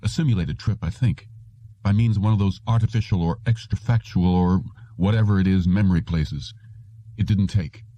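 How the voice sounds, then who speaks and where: An indignant male clerk from a science fiction audiobook, read by a man, demanding and sardonic. The voice is low and dark, recorded dry. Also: normal speed, dry recording, dull audio. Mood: dismayed, hot. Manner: sardonic, agitated.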